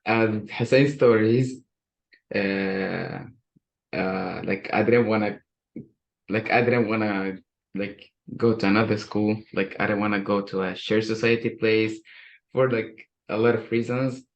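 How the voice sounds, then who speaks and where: A man's voice: on the low side, fairly even intonation, measured pace, creaky. A young man telling his story on a student panel, hesitant and full of fillers, laughing now and then. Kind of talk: casual personal story. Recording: dull lo-fi recording.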